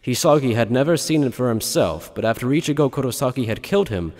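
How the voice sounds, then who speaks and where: A man's voice: medium pitch, animated, fast, darkish tone. Male narrator in a long reverb. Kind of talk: scripted dramatic audiobook narration.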